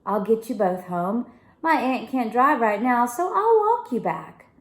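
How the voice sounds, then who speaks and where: A woman's voice: on the high side, expressive, a little slow, reverberant, voice pushed up. A woman voicing a confident, reassuring young girl for a children's audiobook. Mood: breezy.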